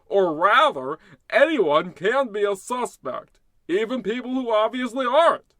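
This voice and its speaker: A self-proclaimed hero of a radio-play parody played by a man, high-voiced and sharp. He is pompous and paranoid, declaiming everything with bombast as a great American crusader. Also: lively intonation, measured pace, dry recording. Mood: righteous bombast. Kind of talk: parody of old radio drama.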